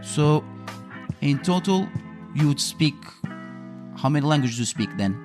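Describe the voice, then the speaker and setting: A man's voice: on the high side, expressive, a little slow, over music. A man with a Portuguese accent, a native Portuguese speaker, hosting a casual interview in a rambling, hesitant way. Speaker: podcast host interviewer.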